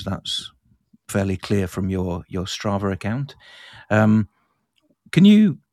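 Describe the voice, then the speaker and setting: A man hosting a podcast and interviewing a guest in a relaxed, plain-spoken way. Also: on the low side. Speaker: podcast host.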